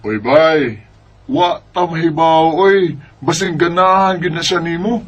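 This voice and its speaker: A man's voice: on the high side, measured pace, highs cut off. A man acting a teasing, jokey best buddy in a Bisaya radio drama, playful and nudging, with a Filipino accent.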